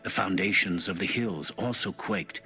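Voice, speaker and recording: An actor playing a devout ancient psalmist king in prayer, solemn and measured, in a dramatized audio Bible. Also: sits low, sharp tone. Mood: solemn, grave. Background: background music.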